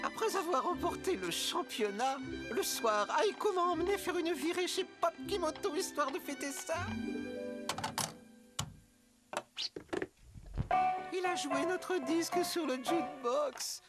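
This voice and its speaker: A woman's voice: extremely high pitch, animated, normal speed, hardly any pauses. A woman playing a theatrical, slangy old cleaning lady who wistfully tells stories in a cartoon dub. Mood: reminiscing. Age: older. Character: nostalgic old cleaning lady, former tough biker girlfriend. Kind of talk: dubbed cartoon storytelling with retro slang. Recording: loud music behind the voice.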